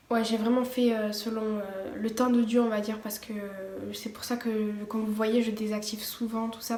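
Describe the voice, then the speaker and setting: A woman's voice: on the high side, darkish tone. Teenage girl, echoey room. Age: teens. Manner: hesitant, many fillers and restarts; partly reading from notes. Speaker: vlogger. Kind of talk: casual personal testimony. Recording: echoey room, faint background noise.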